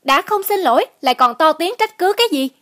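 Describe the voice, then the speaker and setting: Woman as pushy, high-voiced hot-tempered man. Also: lively intonation, brisk, a bit bright. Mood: indignant. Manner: indignant, insistent. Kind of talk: cartoon dubbing.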